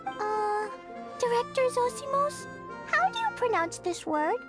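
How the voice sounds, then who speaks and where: A woman's voice: very high-pitched, big pitch swings, unhurried, exaggerated voice. A woman voicing a cute, eager, curious little girl in an anime game, with an earnest, inquisitive manner. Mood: curious, unsure. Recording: music behind.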